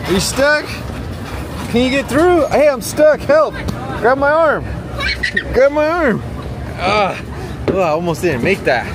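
A man's voice: very high-pitched, sing-song, takes it slowly, pauses often. A man filming his kids at a fair, playfully teasing them and cheering them on. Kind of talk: casual family vlog. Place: outdoors at a busy fairground. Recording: loud crowd and fair noise.

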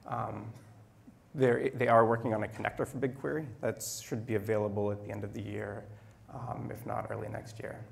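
Middle-aged male presenter, low and very gravelly, handheld mic in a meetup room, measured with ums. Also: little pitch movement. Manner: measured, frequent ums and restarts. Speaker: conference speaker. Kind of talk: technical presentation. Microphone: handheld microphone.

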